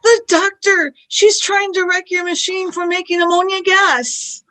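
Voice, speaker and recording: An earnest young woman in a space radio play, acted by a woman. Her voice is extremely high, with a questioning, anxious delivery. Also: little pitch movement, a little slow, pushed and bright, exaggerated voice. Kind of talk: fan radio play acting.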